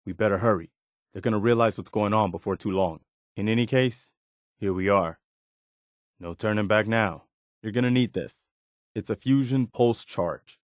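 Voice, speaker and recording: A man acting as a dutiful militia officer in a video game. His voice is on the low side and recorded dry and close. He speaks plainly and earnestly, like a loyal idealist who believes in the cause. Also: no echo, close to an everyday voice. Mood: wary, determined. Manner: earnest, measured, plain-spoken. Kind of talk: video game character dialogue.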